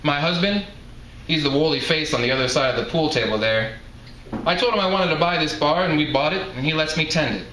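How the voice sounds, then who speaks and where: A man's voice: a little high, fairly quick, a bit bright, natural voice. A man voicing a chatty, blunt elderly woman bartender in a folksy manner while reading a novel aloud. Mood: blunt, matter-of-fact. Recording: echoey room, faint background noise.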